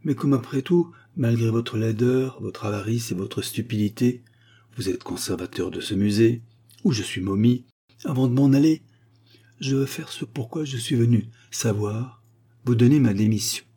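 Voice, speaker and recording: A man's voice: mid-range voice, moderate pace, mellow, slightly rough, voice pushed up. A man voicing an irritable ancient Egyptian mummy who scolds and insults in a raised voice. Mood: irritated. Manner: scornful, peevish scolding.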